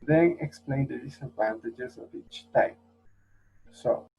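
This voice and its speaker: A man explaining speaking exam tasks in a halting way with fillers. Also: mid-range voice, gravelly, mellow, slightly rough. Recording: dull lo-fi recording.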